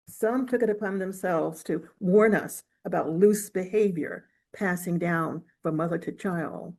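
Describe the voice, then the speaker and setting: A woman reading literary fiction aloud in a measured, expressive storytelling manner. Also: mid-range voice. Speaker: author reading from own novel. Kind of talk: audiobook-style literary reading.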